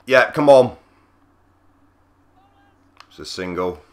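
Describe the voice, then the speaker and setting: A man with a cold, husky and croaky, giving easygoing play-by-play commentary on a cricket video game. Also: mid-range voice, lively intonation, takes it slowly. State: hoarse from a cold. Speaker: gaming channel host.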